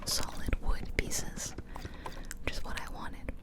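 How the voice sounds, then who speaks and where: A woman whispering softly and breathily in a chatty, confiding ASMR style, close to the microphone. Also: slow. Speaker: ASMR video creator. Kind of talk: whispered ASMR casual storytelling. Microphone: close ear-to-ear ASMR microphone.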